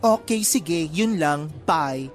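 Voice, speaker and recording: A man's voice: on the high side, lively intonation, takes it slowly. Over background music comes a flamboyant young Filipino college guy. He is sassy and cheeky, with campy, teasing delivery in a radio drama style. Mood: teasing, catty. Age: young adult. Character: flamboyant, cheeky effeminate male college student. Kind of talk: Tagalog radio drama acting.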